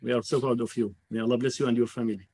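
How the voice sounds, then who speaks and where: Courteous man hosting, halting speech. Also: medium pitch, steady tone, mellow, a little hiss or hum. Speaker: Quran recitation teacher hosting a graduation. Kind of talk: informal ceremony speech. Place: home study, on a video call. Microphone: computer microphone on video call.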